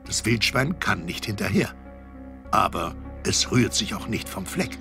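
A man narrating a children's audio drama as an expressive, wry storyteller. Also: on the low side, measured pace, gravelly, over music. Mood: wry, suspenseful.

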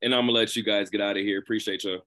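A man's voice: lowish voice, steady tone, racing, fairly bright tone. A man working as a sports reporter, putting a casual, conversational question at a press conference.

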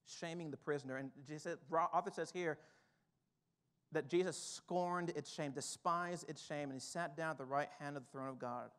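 A male pastor in his thirties preaches from a church stage through a headset mic, with a high, light voice. He moves from hushed, reflective passages up to urgent, rising exhortation. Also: moderate pace, clear, resonant tone. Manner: builds from quiet to fired-up exhortation. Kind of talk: sermon. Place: church stage with drum kit, indoors.

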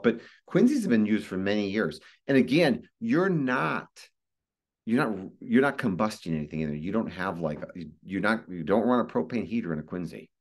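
Low-voiced middle-aged American man explaining informally. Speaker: guest expert giving a presentation. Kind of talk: informal explanatory webinar talk. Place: indoor room, video call. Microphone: video call microphone.

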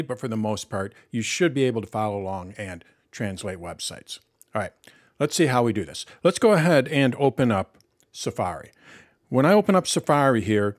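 Man explaining step by step, lively pitch. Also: no echo, clean studio sound. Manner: step-by-step explaining, asks and answers own questions. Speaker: tech tutorial host. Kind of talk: screen-recorded tutorial walkthrough.

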